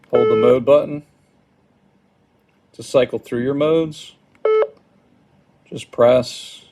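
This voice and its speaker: A man giving an informal hands-on product tutorial in a hesitant, halting, apologetic manner. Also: medium pitch, heavy background noise. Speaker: product demonstrator. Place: indoors at a wooden table.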